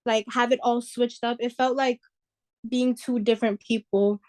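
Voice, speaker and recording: A woman's voice: fairly high pitch, fairly even intonation, normal speed, darkish tone. A young woman from New York, a singer, talking casually and reflectively as an interview guest.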